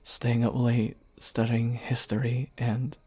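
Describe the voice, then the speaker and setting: A man voicing a devoted young knight who earnestly confesses his love, speaking softly and haltingly. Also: mid-range voice, level tone, breathy edge, no room sound. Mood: tender. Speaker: voice actor. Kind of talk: audio roleplay acting.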